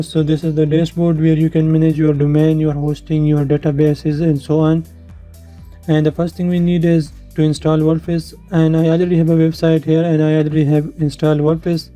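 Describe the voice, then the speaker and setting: Very dark-voiced man narrating a tutorial. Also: a little high. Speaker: tutorial presenter. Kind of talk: instructional screen-share narration. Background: background music.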